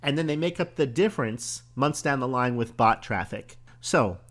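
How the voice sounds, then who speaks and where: Brief: male podcast host, hoarse, mid-pitched, casual thinking-aloud delivery. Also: animated, a bit bright. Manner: conversational, musing aloud with pauses and small laughs. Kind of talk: solo podcast monologue.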